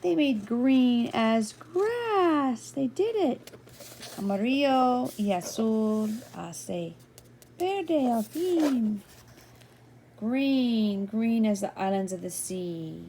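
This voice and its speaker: Older female storyteller, slow and gentle children's read-aloud, fry in the voice, homemade recording with a steady bed of noise. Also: fairly high pitch. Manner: gentle, playful, addresses young listeners with questions and asides. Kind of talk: expressive children's picture-book reading with asides.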